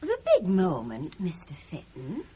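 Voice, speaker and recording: A woman's voice: lowish voice, wildly swooping pitch, measured pace, mellow. An actress playing a prim, curious, easily shocked middle-aged married woman in a radio comedy drama. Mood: shocked, reproving. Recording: muffled, noisy 1970s radio drama recording.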